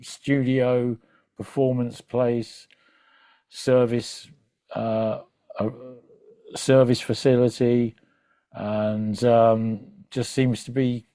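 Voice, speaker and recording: A man's voice: medium pitch, dark tone, dry recording. A man interviewing a guest in an informal, rambling, conversational way. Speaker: interviewer, host.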